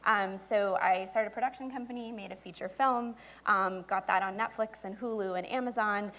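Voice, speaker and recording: A woman with a mid-range voice speaks as a founder on a panel. She recounts her career conversationally, pausing and hesitating often as she searches for the next phrase. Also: medium pitch, fairly even intonation, fairly bright tone. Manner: halting, many hesitations. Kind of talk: conversational career storytelling on stage. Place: indoor event stage before an audience.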